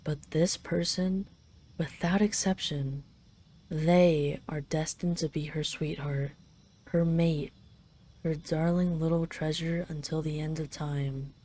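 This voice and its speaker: A woman's voice: low-pitched, steady tone, slow pace, dark tone, a little hiss or hum. A woman playing a possessive, doting dragon queen in an intimate roleplay audio, speaking in a teasing, tender, lingering way. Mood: tender, confiding.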